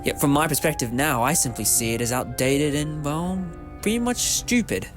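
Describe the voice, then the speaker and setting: Animated, eccentric time-traveller hero played by a man. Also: a little high, normal speed, over music, lightly acted. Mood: chatty, explaining. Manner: chatty, animated, cheeky. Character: eccentric, principled alien time-traveller hero, quick-witted. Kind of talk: audio drama acting.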